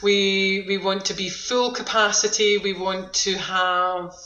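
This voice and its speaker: A woman's voice: mid-range voice, steady tone, takes it slowly, bright, forceful tone, roomy sound, muffled recording. A woman with a Scottish accent speaking informally and upbeat as a centre manager being interviewed on the radio.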